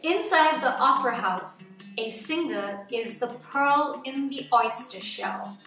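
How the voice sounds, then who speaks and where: A woman reading a children's picture book aloud as scripted narration, with measured, deliberate phrasing. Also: sits high, expressive, bright, forceful tone. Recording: roomy echo, distant mic, background music. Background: music.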